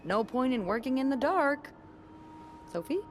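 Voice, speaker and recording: Playful, animated young hotel worker. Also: female voice, high-pitched, a little slow. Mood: breezy, concerned. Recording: clearly noisy background ambience.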